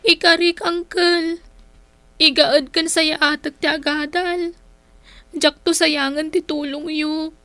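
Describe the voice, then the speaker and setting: An actress playing an earnest, pleading young daughter in a radio drama, with an Ilocano accent. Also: female voice, sits high, flat delivery, takes it slowly, sharp tone, dry recording, full character voice.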